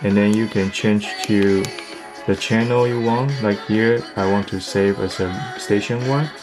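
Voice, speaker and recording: Low-pitched man giving a casual product demo. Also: fairly even intonation, a little slow, over music. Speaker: product reviewer. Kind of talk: casual product review demonstration.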